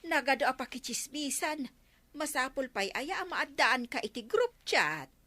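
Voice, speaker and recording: A woman's voice: sits high, big pitch swings, moderate pace, sharp tone, heavily acted. A woman acting a nosy, stubborn village mother in an Ilocano comedy drama, with a Filipino Ilocano accent and grumbling manner.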